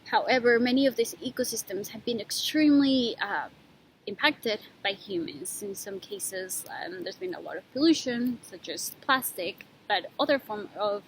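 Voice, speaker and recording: A young woman from Ecuador talks through her research at a mid-range pitch. She is thoughtful and unhurried, stopping often with small fillers. A faint low rumble sits behind her voice. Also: expressive, rough recording. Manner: thoughtful, with frequent pauses and fillers. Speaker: PhD student. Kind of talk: informal talk about her research.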